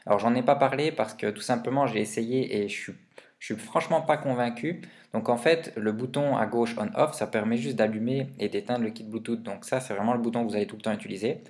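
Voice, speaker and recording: A man speaks at an average pitch in the relaxed register of a vlogger reviewing gear. He explains things step by step in informal, slangy wording. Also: fairly quick. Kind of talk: casual gear review vlog.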